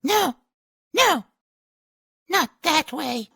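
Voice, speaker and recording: Casting: anxious, whimpering small creature, high and piercingly bright, for fantasy dramatization. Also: male voice, expressive, extremely slow, heavily acted. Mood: fearful, pleading. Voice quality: thin, creaky, whimpering. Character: timid, anxious small creature, Gollum's gentler self. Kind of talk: dramatized dialogue reading.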